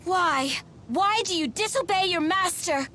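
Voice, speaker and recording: High-voiced, haughty female spirit. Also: expressive, measured pace, pushed and bright, a little hiss or hum, heavily acted. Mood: shaken, indignant. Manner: formal, imperious, precise diction. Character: haughty, duty-bound female spirit, coldly scornful, fiercely devoted. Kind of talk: dramatic video game voice acting.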